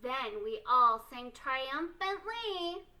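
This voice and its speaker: A woman reading a children's storybook aloud expressively, with theatrical, deliberate emphasis. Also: sits high, expressive, bright, forceful tone. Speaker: storytime reader.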